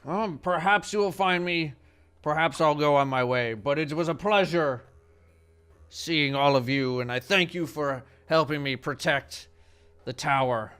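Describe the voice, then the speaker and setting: A man voicing an eccentric, courteous male cloud giant with a booming voice and formal phrasing, in a tabletop game. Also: a little slow, fairly bright tone, clean tone, faint music behind.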